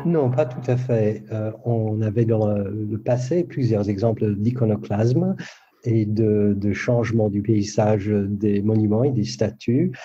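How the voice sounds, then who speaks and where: A man who grew up speaking explains things as an academic guest. His voice is fairly low, extremely dark and soft-edged, in a dry, somewhat muffled recording. He talks in a measured, scholarly way. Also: faint music behind. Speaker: history professor, guest. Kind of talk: explanatory interview answer. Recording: dull lo-fi line, dry sound.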